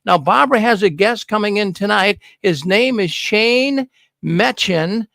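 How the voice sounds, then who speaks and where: A man's voice: high voice, measured pace, creaky, bright, forceful tone, dry recording. An older man with a Boston accent hosting a talk show in a chatty, folksy way, speaking into a studio mic. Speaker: host. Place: studio with neon signs. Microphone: broadcast mic on boom arm.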